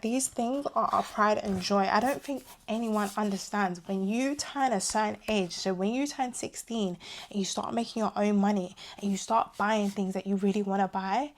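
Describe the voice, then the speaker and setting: Young woman, casual vlogger, chatty and animated, slightly hoarse voice. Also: medium pitch. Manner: chatty and animated, drifting into asides. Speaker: vlogger reviewing her tech purchases. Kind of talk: casual chat to camera.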